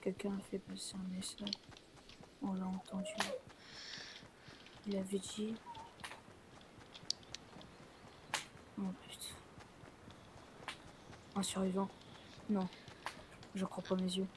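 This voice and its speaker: An anxious, weary survivor of a zombie apocalypse acted by an amateur. The voice stays fairly monotone, with halting, repeated phrases. It comes from a homemade game film with clear noise in the recording. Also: mid-range voice, lightly acted. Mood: stunned. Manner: halting, repetitive.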